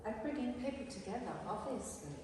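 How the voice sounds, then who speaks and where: A woman interviewer asking gentle questions, hesitant and full of fillers as she shapes them. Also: a little high, lively intonation, fairly quick, background noise. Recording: roomy echoey hall, distant mic.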